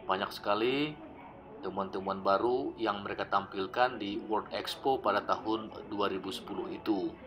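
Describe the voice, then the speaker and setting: A man narrating his old travel footage in a relaxed, explanatory voice-over, often pausing with fillers. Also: fairly low pitch, background noise. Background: background music.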